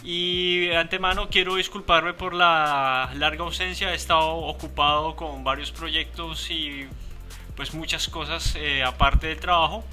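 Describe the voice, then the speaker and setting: A man hosting a casual interview, informal and a little halting as he forms his questions. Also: fairly high pitch, steady tone, pushed and bright, faint music behind. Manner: informal, halting, repeats words while forming questions. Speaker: interview show host.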